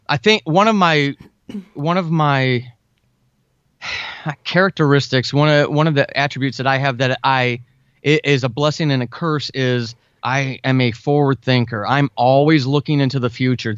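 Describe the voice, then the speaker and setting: A man chats enthusiastically and earnestly as a guest writer in an interview. His pitch is average, and the sound is dry and somewhat dull, with a limited lo-fi band. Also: moderate pace, a bit bright, smooth, no echo. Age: 40s. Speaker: guest, fiction and audio drama writer. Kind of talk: casual conversational interview.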